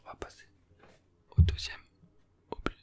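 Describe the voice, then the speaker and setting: A young adult whispering throughout an ASMR roleplay as a playful, teasing examiner who gently reassures the listener. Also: moderate pace. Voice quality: soft unvoiced whisper.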